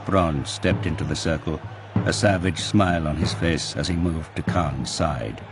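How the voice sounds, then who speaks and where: A man giving a grave, intense, deliberate narration of scripted fiction for an audio drama. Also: rumbling low. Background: music.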